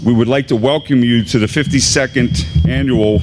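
A man in his fifties, an assistant high school principal, giving a formal, deliberate graduation address at a podium microphone in a large gymnasium. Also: steady tone, noisy background. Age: 50s. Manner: measured, deliberate announcing with pauses.

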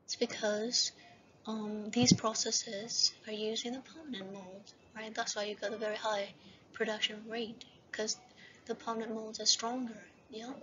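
A woman lecturing over slides for a university class, often checking in with "right?" and slowing down to read slide headings. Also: fairly high pitch, pushed and bright. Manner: repeats "right?" and "okay?" as checks. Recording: dull lo-fi voice-over with faint hiss.

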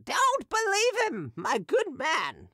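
A shrill drunken street hawker woman. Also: huge pitch swings, slow pace, no room sound, studio quality, heavily acted. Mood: jeering. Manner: shrill, jeering and shouting. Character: drunken staggering female street hawker, abusive.

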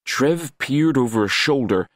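A man's voice: mid-range voice, expressive, a little slow, dry recording, studio quality. A man reading scripted fiction as an audiobook narrator, in a dramatic storytelling style.

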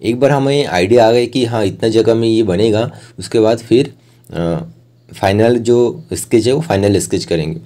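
Low, mellow man explaining steps. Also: normal speed. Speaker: art tutorial host. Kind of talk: step-by-step instructional voice-over.

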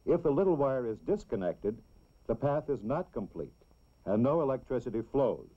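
An American man reads scripted narration in the style of a 1960s educational film, explaining things simply and deliberately for children. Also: medium pitch, big pitch swings. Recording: dull, lo-fi old film soundtrack.

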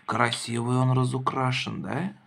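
A man's voice: medium pitch, little pitch movement, a little slow, vocal fry, a bit bright. A man in casual, playful chat with a child, encouraging and inquisitive, using simple phrases. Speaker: adult playing with and questioning a child in a toy car show. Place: indoors at a wooden table. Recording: noisy home recording with clicking toys.

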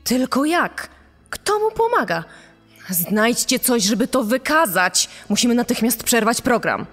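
A woman's voice: on the high side, sing-song, unhurried, a bit bright, full character voice. A woman playing an alarmed, suspicious TV floor manager, urgent and demanding, in a true-crime podcast reenactment. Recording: long reverb, music under the voice.

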